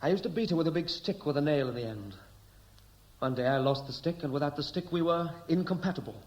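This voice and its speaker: A man's voice: a little high, lively intonation, quick pace, a little mellow, lightly acted. Cynical homecoming sailor male actor, blunt and dryly sardonic, 1960s radio drama. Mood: dry teasing. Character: cynical Welsh seaman home after years away.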